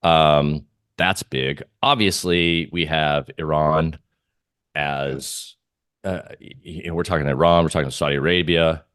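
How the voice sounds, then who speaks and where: A man with an extremely deep voice talks casually as an interviewed trader. He thinks aloud in a halting way, and the sound is dry with very little room. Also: steady tone, fairly bright tone, clear, resonant tone, no room sound. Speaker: trader guest being interviewed. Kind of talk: casual interview talk on markets.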